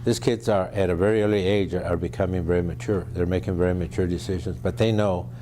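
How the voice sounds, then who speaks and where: A man's voice: low voice, fairly even intonation, fast talker, crowd noise. A man who works as a school principal, giving an interview about his work. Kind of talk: interview soundbite. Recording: noisy room with hum.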